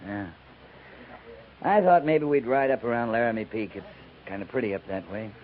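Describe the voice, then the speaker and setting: An actor playing a bashful, plain-spoken cavalry captain in a 1950s radio western. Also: male voice, mid-range voice, a little hiss or hum, natural voice. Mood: tentative, fond. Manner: halting, bashful, plain frontier speech. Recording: narrow-band vintage 1950s radio recording.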